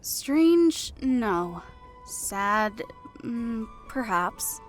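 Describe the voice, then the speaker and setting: A woman's voice: on the high side, sing-song, very slow, faint music behind, heavily acted. A woman voicing an older female elf who helps run an inn, shrewd, protective and knowing, in a fantasy audiobook. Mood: pensive, knowing. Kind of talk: audiobook character acting.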